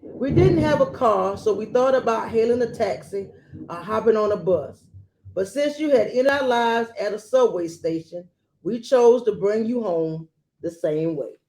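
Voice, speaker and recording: A woman reading a children's picture book aloud expressively and gently, adding small asides as she goes. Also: a little high. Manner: gentle, unhurried, adding commentary to listeners.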